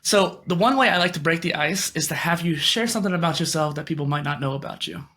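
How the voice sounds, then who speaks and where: A man's voice: a little high, fast talker, bright, forceful tone. A man hosting a podcast interview, conversational, encouraging and affirming. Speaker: podcast host.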